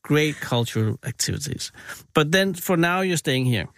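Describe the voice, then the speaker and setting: A man's voice: on the high side, lively intonation, normal speed, a bit bright, no room sound, studio quality. Teasing man host. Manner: teasing, jokey. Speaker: radio host. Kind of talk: casual interview.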